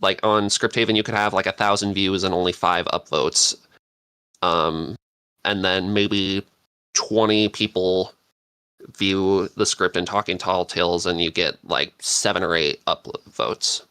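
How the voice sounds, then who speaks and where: A man giving casual advice as a podcast panelist, halting and thinking aloud as he goes. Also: low-pitched, steady tone, bright, forceful tone, smooth, dry recording. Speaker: podcast panelist, script writer.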